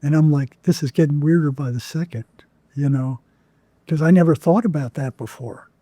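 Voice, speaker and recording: A man's voice: on the high side, normal speed, mellow, no echo. A man telling stories as an interview guest in a conversational, rambling way, often acting out quoted dialogue. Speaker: podcast guest, psychotherapist.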